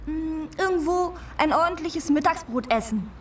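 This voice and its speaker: A woman's voice: high voice, animated, normal speed. An actress playing a girlish, carefree young woman in a radio play. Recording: distant from the mic.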